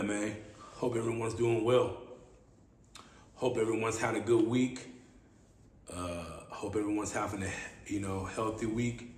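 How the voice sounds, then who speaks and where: A raspy-voiced man in his thirties hosting his own podcast, talking in a laid-back, playful way and repeating his phrases, seated indoors and recorded on a camera mic at mid distance. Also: lowish voice. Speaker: podcast host. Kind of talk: casual solo podcast monologue. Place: small room indoors, seated. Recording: echoey room with steady background hiss.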